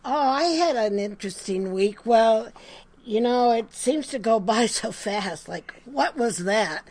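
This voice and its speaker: Unhurried elderly American woman, highish voice. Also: a little mellow. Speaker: podcast co-host, pet groomer. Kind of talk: casual chat.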